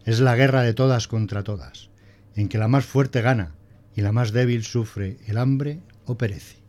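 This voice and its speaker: A man's voice: medium pitch, measured pace, darkish tone, lightly acted. A man playing a prim, pedantic male schoolteacher who lectures didactically in a scripted audio drama. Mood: pompous lecturing. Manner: didactic, pompous.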